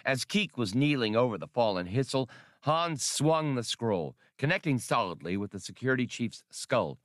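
A man's voice: medium pitch, sing-song, normal speed, a bit bright, no echo, clean studio sound. A man reading adventure fiction aloud as an audiobook narrator, in a dramatic scripted style. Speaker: audiobook reader.

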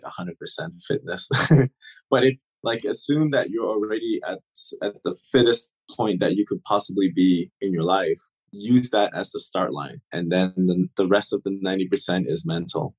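A man in his thirties with a fairly low voice tells stories as an interview guest. He talks in a relaxed, easygoing way, full of fillers and restarts, and now and then he laughs as he speaks. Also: moderate pace, breathy edge, poor audio. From Turks and Caicos. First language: German. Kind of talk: casual interview storytelling.

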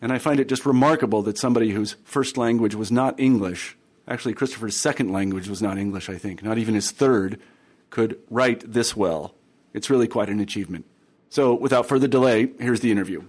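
A man's voice: on the low side, brisk, a little mellow. A man hosting a history book podcast, reading an introduction and then chatting with a guest, with some fillers and restarts. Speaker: podcast host. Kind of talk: scripted intro then interview.

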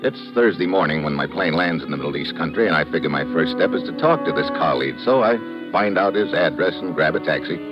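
An actor playing a wry, hard-boiled American government agent, world-weary and sardonic, in 1950s radio drama style. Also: male voice, extremely low pitch, animated, fast talker. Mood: wry narration. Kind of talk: 1950s radio drama acting and first-person narration. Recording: dull old radio recording, music behind.

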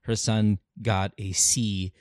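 An animated podcast host chatting casually and swearing freely. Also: low-pitched, takes it slowly, dry recording, clean studio sound. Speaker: talk show host.

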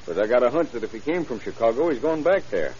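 An actor playing a dogged, earnest police lieutenant with clipped cop slang in a vintage radio crime drama. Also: male voice, medium pitch, very animated, quick pace, a little mellow, close to an everyday voice. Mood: earnest, determined. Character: dogged, hunch-driven male police lieutenant. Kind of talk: 1930s-40s crime radio drama acting. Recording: muffled old radio recording, faint hiss.

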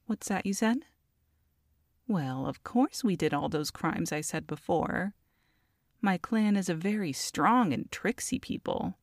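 Lady doing a scheming short goblin mom for asmr roleplay, feisty n haughty, slow talker, super dry sound. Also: on the low side, dry recording, heavily acted. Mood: indignant. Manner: sassy, haughty, colloquial, addressing a captive. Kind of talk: ASMR audio roleplay monologue.

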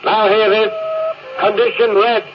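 A man's voice: sits high, little pitch movement, a little slow. A man playing an agitated spaceship officer barking clipped emergency orders in an old-time radio drama. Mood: urgent, alarmed. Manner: shouted, clipped, urgent. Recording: very noisy, muffled vintage radio recording.

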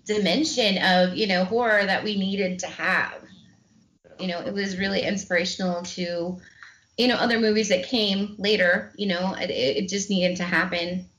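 A woman with a high-pitched voice hosting a casual podcast chat, talking enthusiastically and rambling with many fillers. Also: steady tone, normal speed, a bit bright, rough recording. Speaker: podcast host.

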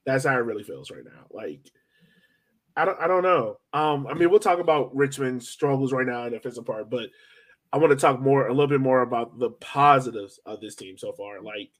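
Male sports podcast host, mid-pitched, conversational and rambling, hedges and rephrases often. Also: fairly quick, fairly bright tone. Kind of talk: casual sports chat.

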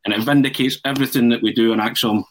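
A man's voice: mid-range voice, fairly even intonation, very fast, slight background noise, low-quality recording. A man hosting a football podcast in casual chat, joking and chatty. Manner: jokey, chanting, laughs often. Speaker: podcast host.

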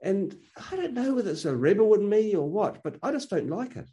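Mellow-voiced man, earnest and opinionated. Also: sits high, very animated, fairly quick. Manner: earnest, opinionated, frequent restarts. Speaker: guest. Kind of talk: casual conversational interview.

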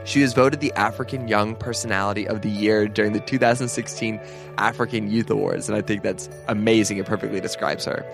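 A man's voice: on the low side, fairly even intonation, a little fast, vocal fry, over music. A man hosting a podcast, reading an earnest introduction and then asking questions in a casual interview. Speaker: podcast host.

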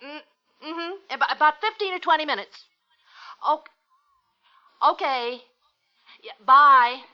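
A woman's voice: high-pitched, slow, soft background music. An actress playing an affectionate, easily flustered young American mother in an old-time radio drama. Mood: flustered, polite. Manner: motherly coaxing and chiding. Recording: dull, noisy old radio recording.

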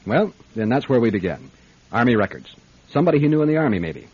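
An actor playing a brisk, wry private detective in a radio drama, with a clipped, matter-of-fact delivery. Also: male voice, mid-range voice, big pitch swings, breathy edge, dull audio. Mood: probing. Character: hard-boiled male private detective, brisk, wry, businesslike. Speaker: lead actor and narrator. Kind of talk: radio detective drama with first-person narration.

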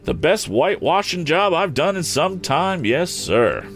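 A man's voice: fairly high pitch, sing-song, measured pace, fairly bright tone, pitched above the performer's own voice, full character voice. A man voicing an older, good-natured country wagon driver in a storybook, cheerful and feisty, with folksy rustic speech. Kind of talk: audiobook character acting.

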